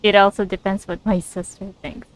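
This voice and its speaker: A woman casually commentating as she plays a video game, answering the characters aloud in a relaxed manner. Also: medium pitch, moderate pace, dry recording, poor audio. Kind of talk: casual gameplay reaction chat.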